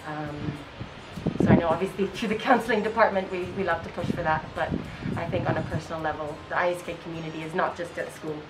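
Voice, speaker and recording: A woman's voice: low voice, fairly even intonation, quick pace, far from the mic, very noisy. A woman in her twenties from Kenya, a school counseling intern, giving earnest interview answers with fillers and restarts while sitting outdoors on a school campus. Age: 20s. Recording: voice set back from the mic, music underneath.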